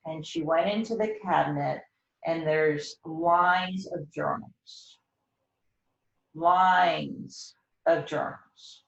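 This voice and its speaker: A woman tells a story in a reflective, conversational way, taking her time over each phrase. Her voice sits a little low, and she leans on repetition for dramatic weight. The sound is roomy and slightly muffled. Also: very slow, vocal fry. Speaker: host introducing and discussing a book.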